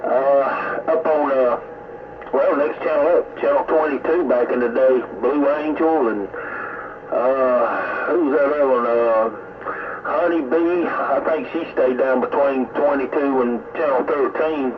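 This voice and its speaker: A man's voice: mid-range voice, unhurried. A middle-aged or older man with a Southern US accent, chatting casually over CB radio in a folksy, rambling way. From American South. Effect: CB radio transmission. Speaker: CB radio hobbyist. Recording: tinny, narrowband CB radio with heavy static.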